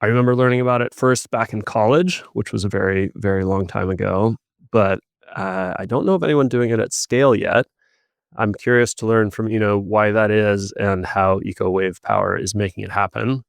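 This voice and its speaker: A man hosting a podcast, reading an intro and asking interview questions in an even delivery. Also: on the low side, normal speed, vocal fry, no echo, clean studio sound. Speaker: podcast host.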